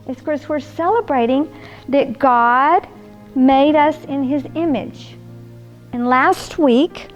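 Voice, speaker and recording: An older woman with a high voice tells stories to small children at an unhurried pace. She coaxes them along in a sing-song, grandmotherly way, asking them questions as she goes. Music plays behind her. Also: darkish tone. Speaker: children's storyteller and host.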